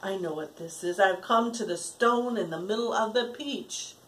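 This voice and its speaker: A woman voicing an excitable, wide-eyed young boy in a children's storybook, in hushed, awed exclamations. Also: medium pitch, normal speed, close to an everyday voice, poor audio. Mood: amazed.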